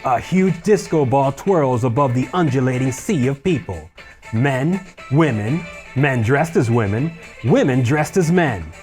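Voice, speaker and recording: A man with a medium-pitched voice reads screenplay directions as a narrator. There is faint music behind his scripted narration. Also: animated, a little slow, darkish tone.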